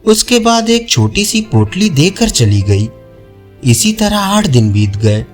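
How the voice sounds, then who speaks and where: A male storyteller reading a scripted folk tale. His delivery is unhurried, with a lively rise and fall in pitch. Music plays behind the narration.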